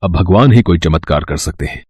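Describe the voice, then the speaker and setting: A man playing a grave doctor in a cartoon story, with a husky voice and solemn, measured delivery. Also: low voice, expressive, a little mellow, dry recording. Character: grave hospital doctor breaking bad news.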